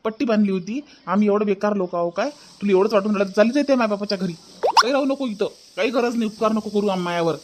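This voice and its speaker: A man's voice: sits high, fast, a little hiss or hum, close to an everyday voice. A man with a Varhadi Marathi accent playing an angry, scolding young husband in a cartoon comedy, repeating his questions indignantly. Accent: Varhadi Marathi from Maharashtra. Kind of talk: hobby cartoon comedy dialogue.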